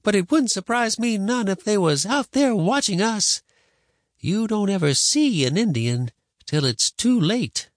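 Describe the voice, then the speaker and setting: Man voicing a cranky, high-pitched old frontier grandma. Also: lively intonation, a little slow, dry recording, studio quality. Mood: wary, emphatic. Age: older. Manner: grumbling, scolding, folksy rural speech.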